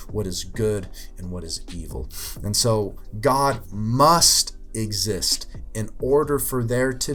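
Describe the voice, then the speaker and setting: Earnest young man explaining faith. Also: fairly low pitch. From Ukraine. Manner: earnest, explanatory, with many fillers. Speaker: youth minister. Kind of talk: scripted apologetics talk.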